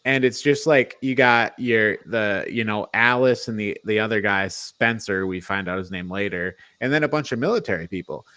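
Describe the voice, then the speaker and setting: A man co-hosting a podcast, chatting casually through a film review in a halting, rambling way. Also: fairly low pitch, pushed and bright, no echo. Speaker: podcast co-host.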